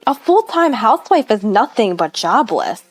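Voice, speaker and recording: A woman's voice: a little high, sing-song, normal speed, no echo, voice pushed up. A woman voicing a haughty, mocking young female medical student in a story, rude and condescending.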